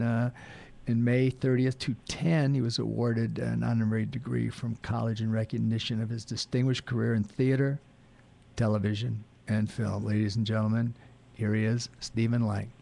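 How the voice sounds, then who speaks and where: A gravelly older man rambles through a guest introduction on a radio talk show, stopping for fillers and half-finished thoughts. His pitch sits in an ordinary middle range. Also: little pitch movement, normal speed, dark tone. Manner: rambling, hesitant with many fillers.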